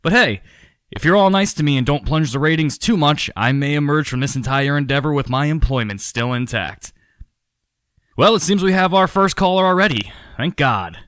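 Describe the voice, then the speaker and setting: An actor playing a self-deprecating sidekick standing in as a radio call-in host, with chatty showmanship. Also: male voice, fairly high pitch, expressive, normal speed, fairly bright tone, clean tone, no room sound, studio quality. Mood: nervous cheer. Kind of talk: parody radio call-in presenting.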